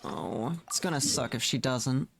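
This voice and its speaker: A man giving casual live gameplay commentary, muttering to himself in a halting way. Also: medium pitch, creaky, a bit bright, a little hiss or hum. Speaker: game streamer. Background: game music.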